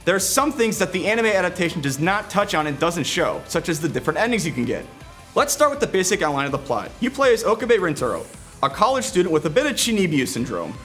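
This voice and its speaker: Male reviewer, higher voice, very animated intonation, scripted with casual asides, music underneath. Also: fast. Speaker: video game reviewer and show presenter.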